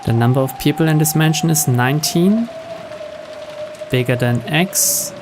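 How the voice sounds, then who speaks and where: A man's voice: on the high side, moderate pace, music in the background. A man reading a game's text aloud as dramatic scripted narration. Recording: steady rain noise behind. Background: rain.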